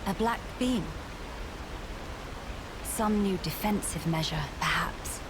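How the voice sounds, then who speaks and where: An actress playing a composed, thoughtful noblewoman with a formal, measured manner in a video game. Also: medium pitch, noisy background, lightly acted. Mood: alert, questioning. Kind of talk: video game cutscene acting. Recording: music over the dialogue.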